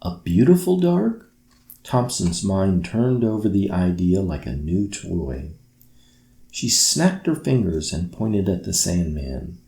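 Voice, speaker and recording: A man with a deep, dark, soft-edged voice narrates a children's story at bedtime. He reads slowly and expressively, as a storyteller would. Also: big pitch swings, echoey room. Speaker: author reading own book.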